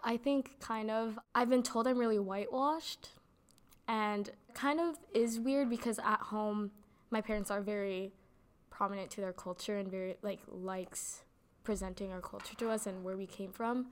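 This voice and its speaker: A woman's voice: mid-range voice, steady tone, normal speed, clean tone. A young woman giving casual interview answers in a chatty way, with lots of "like" fillers. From Canada. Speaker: interviewee, actor.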